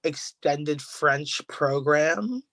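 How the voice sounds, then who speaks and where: A woman's voice: low-pitched, drawn-out words, fairly bright tone, dry recording. Chatty, nervous woman telling a story. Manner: nervous, chatty, laughs through her words. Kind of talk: casual comic storytelling.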